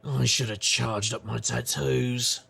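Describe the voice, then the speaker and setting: An actor playing a jaded, wisecracking paranormal investigator, narrating an audio drama in a wry, rambling, noir style. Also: male voice, medium pitch, steady tone, takes it slowly, sharp tone, heavily acted. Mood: dry, uneasy. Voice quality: gravelly. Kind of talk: scripted noir-style first-person narration.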